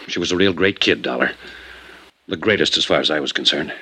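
Deep, bright-edged brooding man. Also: a little fast, breathy edge, exaggerated voice, low-quality recording. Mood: grieving. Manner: blunt, brooding. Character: grieving, bitter American man bent on vengeance. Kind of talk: 1950s radio drama acting. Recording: 1950s radio drama with music behind.

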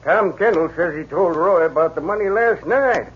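A man playing a folksy, easygoing frontier sheriff in a 1950s radio drama, with a raspy, drawling voice on a tinny old radio recording. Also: high-pitched, animated, normal speed, exaggerated voice.

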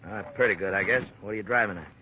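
An actor playing a seasoned, laconic small-town sheriff with an American accent and a folksy way of talking, in a radio drama. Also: male voice, fairly low pitch, racing, close to an everyday voice. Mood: laconic, easygoing. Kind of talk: 1950s radio drama acting. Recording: muffled, noisy old radio recording.

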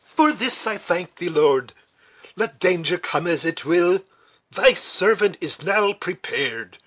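A man's voice: high voice, lively intonation, slow pace, a bit bright, voice pushed up, heavily acted. A man voicing an old, fierce and emotional military commander and father in an audiobook, with impassioned delivery. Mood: fervent thanks. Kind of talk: dramatic audiobook character reading.

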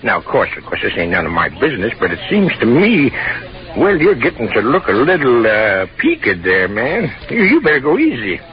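A man's voice: medium pitch, huge pitch swings, moderate pace. An actor playing a folksy, chatty, nosy small-town American postman who hesitates and repeats himself, in 1940s radio style. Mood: cautiously concerned. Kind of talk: 1940s radio character acting. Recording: muffled 1940s radio recording.

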